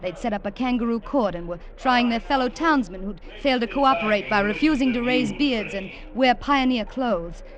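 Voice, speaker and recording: A woman's voice: on the high side, moderate pace, few pauses, a crowd in the background, natural voice. An actress playing a young woman on the run who narrates her ordeal in a 1950s radio drama, earnest and stammering under tension. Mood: tense narration. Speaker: narrator and heroine. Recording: hissy old radio recording.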